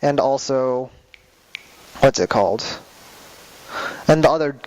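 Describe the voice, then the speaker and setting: A man's voice: mid-range voice, slow pace, a little mellow, low-quality recording. A young man teaching a class, speaking with many fillers and restarts, recorded by a camera across a classroom. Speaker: class instructor. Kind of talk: classroom lecture. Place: classroom with projector.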